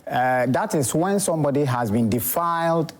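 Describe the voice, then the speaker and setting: A man's voice: a little high, lively intonation, moderate pace. A Ghanaian man, an herbal doctor, explaining remedies as a TV interview guest in a hesitant way, with many fillers.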